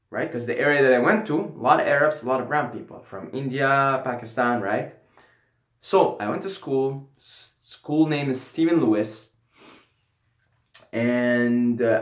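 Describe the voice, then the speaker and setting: A man's voice: mid-range voice, a bit bright, roomy sound. A young man from Syria telling a casual personal story, rambling and often adding "right?" and "you know". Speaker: vlogger.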